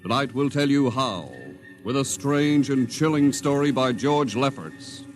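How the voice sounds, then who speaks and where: Casting: male vintage radio announcer, medium pitch, grave dramatic delivery, music clearly behind. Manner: portentous, deliberate. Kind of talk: dramatic 1950s radio scripted narration.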